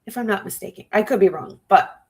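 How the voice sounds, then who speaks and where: A woman's voice: medium pitch, a little fast, creaky. A woman hosting a true crime livestream, musing aloud to her viewers with a stuffy nose. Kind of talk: casual live-stream commentary.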